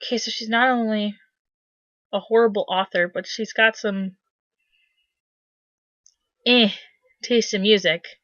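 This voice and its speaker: A woman's voice: medium pitch, steady tone, unhurried, long pauses, fairly bright tone, no room sound, dull audio. A woman giving sarcastic, incredulous commentary as she hosts a dramatic reading of fan fiction. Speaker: host doing a dramatic reading. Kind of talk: mocking commentary on read-aloud fan fiction.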